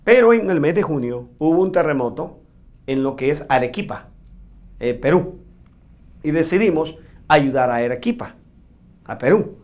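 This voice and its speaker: Salvadoran priest recounting at length. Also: male voice, on the high side, animated, a little mellow. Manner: thoughtful, unhurried storytelling with pauses and restarts. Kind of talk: interview answer, recounting.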